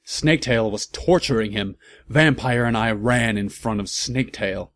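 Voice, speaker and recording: A man's voice: medium pitch, normal speed, fairly bright tone. A man reading a story aloud as a comedic host, breaking off for wry, amused asides.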